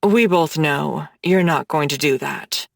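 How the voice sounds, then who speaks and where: A woman voicing a dominant, smug man who issues commands in a low, slow, teasing way. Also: gravelly, no echo, studio quality, exaggerated voice. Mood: smug, commanding.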